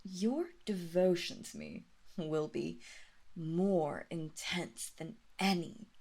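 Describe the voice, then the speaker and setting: A woman playing a seductive, commanding vampire mistress in an intimate roleplay audio drama, speaking softly and deliberately with a hypnotic, teasing condescension and breathy half-whispers. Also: lowish voice, exaggerated voice. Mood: fascinated, possessive.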